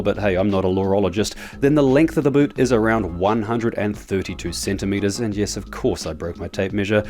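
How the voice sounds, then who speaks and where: A man's voice: on the low side, a little mellow. A man reading a scripted voiceover in a playful, tongue-in-cheek way. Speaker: car reviewer.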